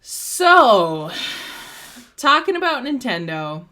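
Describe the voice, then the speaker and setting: An enthusiastic young woman chats casually at home, recorded on a phone propped on a computer. Her voice is bright and lively. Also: fairly high pitch, slow. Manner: upbeat, enthusiastic. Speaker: co-host. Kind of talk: casual chat.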